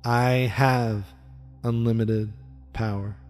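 A man leading a guided meditation in a soft, soothing voice with a slow, repetitive cadence. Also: medium pitch, steady tone. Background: faint music with gong.

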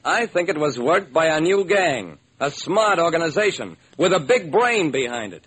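Animated wry radio detective. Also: male voice, a little high, breathy edge, exaggerated voice. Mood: wry, brisk. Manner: wry, brisk storytelling. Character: shrewd, wry private detective narrating his own case. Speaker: narrator and lead character. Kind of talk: old-time radio detective drama acting. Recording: slightly noisy old radio recording.

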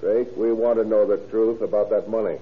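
An actor playing a probing, wry and stern American police lieutenant in an old-time radio detective drama, controlled and authoritative. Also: male voice, fairly low pitch, steady tone, a little fast, natural voice. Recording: tinny, noisy vintage radio recording.

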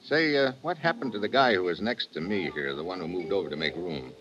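An actor playing a tough, wisecracking adventurer with an American accent and a wry, clipped delivery in an old radio drama. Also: male voice, sits low, animated, brisk, music in the background. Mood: wry, casual. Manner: wry, clipped, hard-boiled. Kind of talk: 1950s radio drama acting. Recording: dull old radio recording.

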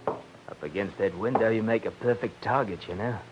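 Male actor as sly mocking heavy: low voice, drawn-out threats, noisy vintage radio recording. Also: muffled recording, natural voice. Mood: taunting menace. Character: menacing, mocking male thug lurking in the dark. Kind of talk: 1940s radio drama acting.

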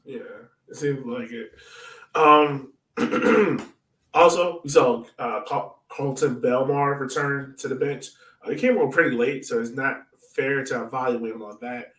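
Male podcast host, mid-pitched, casual sports chat, loose questioning style, roomy distant sound. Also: fairly even intonation, a little slow, a bit bright. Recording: roomy echo, distant mic.

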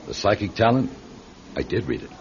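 Radio drama doctor, calm and kindly firm, expressive delivery with a creaky edge. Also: male voice, fairly low pitch. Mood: reassuring. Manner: measured, reassuring, firm. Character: calm, reassuring male doctor, gently authoritative. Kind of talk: classic radio drama acting. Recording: dull, noisy old radio recording.

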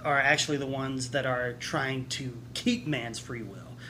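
Bright-voiced man in casual podcast chat. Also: mid-range voice, steady tone, measured pace, slight background noise. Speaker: podcast co-host. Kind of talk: casual group discussion.

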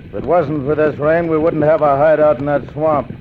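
A man's voice: a little high, steady tone, normal speed, close to an everyday voice. An American man playing a ruthless, gloating Old West outlaw leader in a radio western, bossing his gang with a folksy drawl. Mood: gruff, gloating. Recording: tinny, noisy old radio recording.

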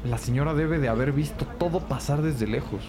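A man playing an easygoing, gentle young investigator in a scripted audio drama. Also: a little high, a little fast, crowd noise, close to an everyday voice. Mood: calm, serious. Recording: music bed under voice. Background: music.

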